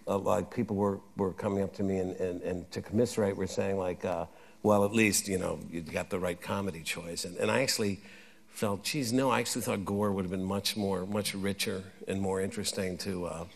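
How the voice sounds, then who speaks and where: A man's voice: low-pitched, steady tone, brisk, gravelly. A man on a festival panel who rambles and repeats his words as he thinks aloud. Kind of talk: panel discussion on stage. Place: festival stage.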